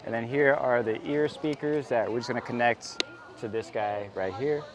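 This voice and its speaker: A man's voice: medium pitch, normal speed. A man giving an informal, easygoing product demonstration outdoors with other people around. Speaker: motorcycle vlogger. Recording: busy outdoor chatter behind.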